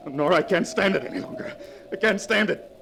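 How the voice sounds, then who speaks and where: Jumpy, tormented husband, noisy recording. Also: male voice, high-pitched, lively intonation, a little fast, creaky. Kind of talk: 1940s radio acting. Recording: noisy vintage 1940s radio recording.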